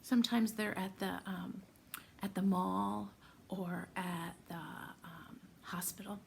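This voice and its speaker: A woman's voice: mid-range voice, steady tone, takes it slowly, vocal fry, a little mellow, slight background noise. A woman reading a storybook aloud to young children, encouraging them and putting questions to them directly as friends. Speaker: children's read-aloud presenter.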